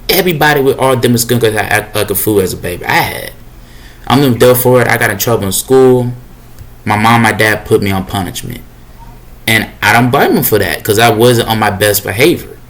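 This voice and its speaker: Casual preachy young man, deep voice. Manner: casual, repetitive, preachy, with slang fillers. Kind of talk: rambling motivational monologue.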